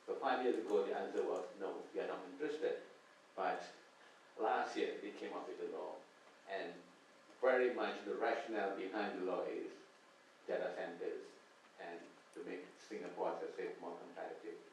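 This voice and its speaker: A man making a measured comment at a conference question session, repeating key points. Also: sits low. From Malaysia. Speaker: panel participant. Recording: echoey conference hall, distant mic, faint noise.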